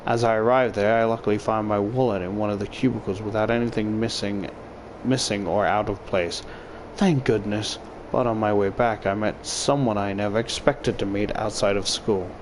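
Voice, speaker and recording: A man's voice: lowish voice, fairly even intonation, normal speed, background noise. A man reading first-person visual novel narration aloud as a YouTuber.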